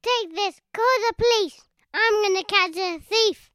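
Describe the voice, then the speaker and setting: A woman's voice: very high voice, a little slow, fairly bright tone, no room sound, clean studio sound, pitched above the performer's own voice. A woman voicing an eager, earnest young male museum guide in a children's story. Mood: urgent.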